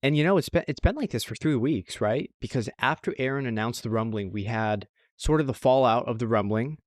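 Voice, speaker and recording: A man speaks as a podcast co-host in a dry, close-sounding space. His voice sits at an ordinary pitch, and he chats casually, repeating short phrases to press his point. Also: a little fast, no echo. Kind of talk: casual anime review chat.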